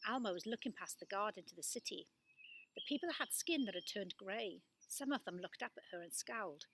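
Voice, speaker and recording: A woman reading a children's storybook aloud as a storyteller, with expressive, dramatic delivery. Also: mid-range voice, fairly quick, slight background noise.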